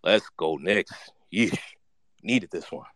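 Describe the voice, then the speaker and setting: A man chatting excitedly about his team in a slangy, repetitive way. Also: deep voice, slow pace, no echo. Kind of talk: casual fan chat.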